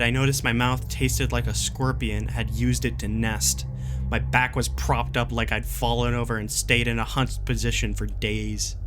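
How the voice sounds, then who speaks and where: A man playing a jaded, gruff, exasperated wanderer recording a survival warning, in a sardonic and emphatic dramatic horror reading. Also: medium pitch, a bit bright, a little hiss or hum, lightly acted. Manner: sardonic, emphatic, colloquial grumbling.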